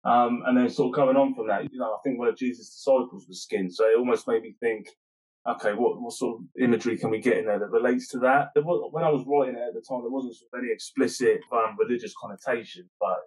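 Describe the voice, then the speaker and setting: A man's voice: mid-range voice, quick pace, darkish tone, poor audio. A British man, a writer being interviewed, explaining himself casually in a loose, rambling, self-correcting way.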